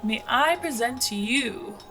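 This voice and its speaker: A woman's voice: on the high side, animated, unhurried, fairly bright tone. A woman playing a cocky, teasing airship pilot and trickster in an audio drama, sly and flippant. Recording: music behind the voice.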